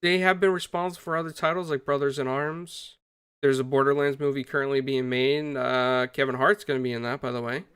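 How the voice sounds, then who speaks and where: A man's voice: medium pitch, fairly even intonation, fairly quick, fairly bright tone, clear, resonant tone, no echo, studio quality. A man hosting a casual gaming podcast, upbeat and greeting viewers by name. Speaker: podcast host.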